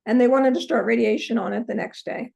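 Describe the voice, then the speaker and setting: Hoarse older lady, gravelly, kinda high, lots of ums, telling her story on a call. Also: a little fast, darkish tone. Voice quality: hoarse, from a vocal cord injury. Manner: earnest storytelling, many ums. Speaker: interview guest, holistic practitioner. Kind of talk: personal story in an interview.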